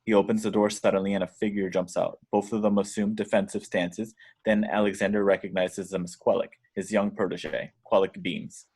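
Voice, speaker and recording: Low-voiced man reading stage directions. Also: little pitch movement, a little fast, hardly any pauses, a little mellow, husky. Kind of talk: scripted narration at a table read.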